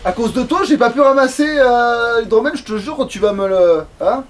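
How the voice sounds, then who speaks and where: A man's voice: extremely high pitch, moderate pace. A man chatting casually as he plays a game, grumbling and swearing. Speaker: let's play gamer. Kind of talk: casual gaming chat. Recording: clearly noisy home recording.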